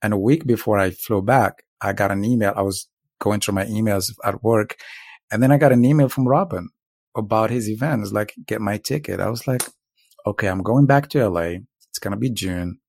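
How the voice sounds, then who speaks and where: A man's voice: fairly low pitch, a little fast, creaky, a little mellow, no echo. A man telling stories casually in conversation as a podcast guest, in a reflective, philosophical manner. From Morocco.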